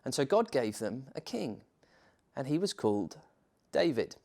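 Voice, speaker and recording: A man reading a children's bedtime story as a church minister, theatrical, with dramatic pauses and emphasis. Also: mid-range voice, very animated, warm tone.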